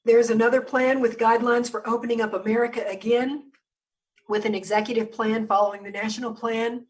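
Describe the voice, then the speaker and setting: A woman public health official giving a measured briefing over a video call, hoarse and losing her voice, often stopping to clear her throat. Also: fairly high pitch, steady tone, distant mic. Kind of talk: briefing presentation on a virtual meeting.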